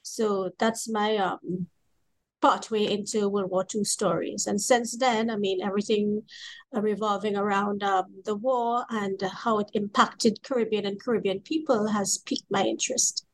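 A woman's voice: medium pitch, steady tone, normal speed, low-quality recording. A woman with a Trinidadian accent, a historian speaking earnestly and articulately about social history in an interview. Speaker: historian and co-editor guest. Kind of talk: academic interview.

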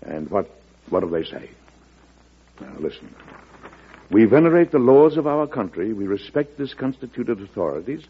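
An actor playing a calm, resolute president in an old-time radio drama, measured and statesmanlike. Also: male voice, fairly low pitch, husky, full character voice. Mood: earnest, deliberate. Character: calm, resolute male president addressing his cabinet secretaries. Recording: muffled vintage radio drama recording.

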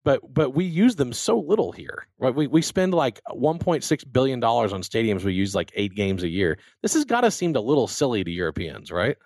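A man's voice: medium pitch, very animated, fairly quick, few pauses, darkish tone, dry recording. An American man hosting a show with casual sports banter, talking emphatically and repeating his phrases for effect. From Louisiana and Texas, United States. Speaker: talk show host.

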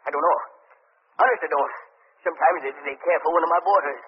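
An actor playing a creepy, cowardly morgue attendant who stammers, in 1940s radio drama style. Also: male voice, fairly high pitch, fast, close to an everyday voice. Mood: frightened. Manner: stammering when afraid. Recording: dull, noisy old radio recording with long reverb.

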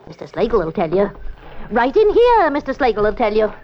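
Accusing woman, old radio crime drama. Pressing questions, highish pitch, very animated intonation. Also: fairly quick. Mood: insistent, accusing. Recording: dull vintage radio recording.

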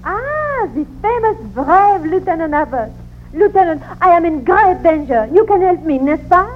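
A woman's voice: extremely high pitch, big pitch swings, moderate pace. A woman playing a gushing, flirtatious French countess in distress, with a French accent, in a 1940s radio comedy. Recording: tinny old radio recording, faint noise.

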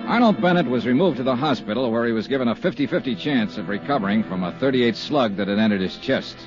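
A man's voice: mid-range voice, animated, fast talker, over loud music, lightly acted. An actor playing a hard-boiled male insurance investigator in a 1950s radio drama, narrating in clipped, dry first person. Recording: dull lo-fi old radio broadcast.

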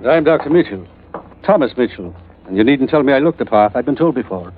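An actor playing a bitter, desperate, seedy doctor with a husky voice and scornful theatrical manner in 1940s radio drama. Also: male voice, mid-range voice, expressive, fairly quick, slight background noise, natural voice. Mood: sardonic. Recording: muffled vintage radio recording.

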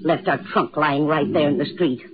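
A woman's voice: sits low, normal speed, lightly acted. An actress playing a bossy, stingy, irritable older woman in a 1940s radio drama, curt and imperious. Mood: indignant, domineering. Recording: muffled, faintly noisy 1940s radio recording.

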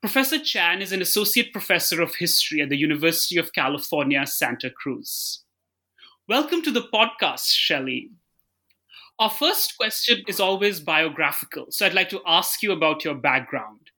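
A man co-hosting an academic interview podcast reads his introduction and poses questions in a polite, measured way. His voice is somewhat high and very bright. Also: sing-song. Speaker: podcast co-host.

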